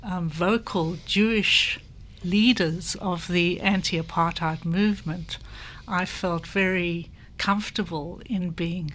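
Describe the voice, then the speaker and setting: Older South African-raised woman, low voice. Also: steady tone, fairly bright tone, slight background noise. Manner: reflective, measured, with pauses. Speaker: panel guest. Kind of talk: personal testimony in a panel discussion.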